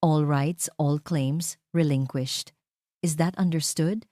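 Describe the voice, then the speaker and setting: A woman's voice: low-pitched, steady tone, slow pace, warm tone, dry recording, clean studio sound. A woman voicing a cold, imperious, emotionless rich male CEO in a dramatic story. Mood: cold, commanding.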